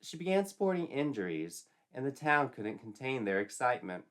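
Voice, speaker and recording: A man's voice: medium pitch, big pitch swings, normal speed. A man narrating a scripted comic story in a theatrical, wry, tongue-in-cheek manner.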